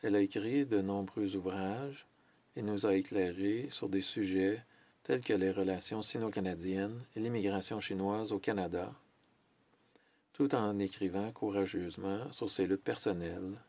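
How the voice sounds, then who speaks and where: A man giving a simultaneous interpretation of a formal parliamentary speech, measured, with hesitations and self-corrections. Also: fairly low pitch, mellow, dry recording. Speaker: French interpreter of a Senate speech.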